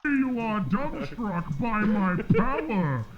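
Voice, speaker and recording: A man's voice: sits high, very animated, dull audio, a little hiss or hum, full character voice. A man voicing a pompous, grandiose, commanding magical genie in a comedy cartoon. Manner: pompous, grandiose, slow declaiming.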